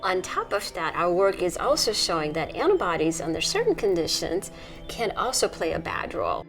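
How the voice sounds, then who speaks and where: A middle-aged woman from Nepal, a researcher, explaining her work in a measured documentary interview. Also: fairly low pitch, sing-song, faint music behind. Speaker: immunology researcher.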